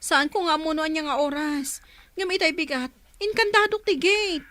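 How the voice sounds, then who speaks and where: Worried grown daughter, very high voice, close dry radio drama sound. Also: female voice, moderate pace, sharp tone, dry recording. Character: anxious grown daughter searching for her missing mother.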